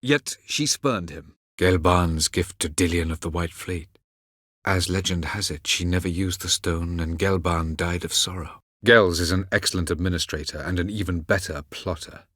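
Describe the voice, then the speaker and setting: An actor playing an ancient, aloof elven sage in a video game, speaking in a measured, formal and condescending way. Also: male voice, sits low, a bit bright, dry recording, clean studio sound. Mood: solemn, explanatory. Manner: measured, formal, haughty delivery. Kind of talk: scripted video game dialogue.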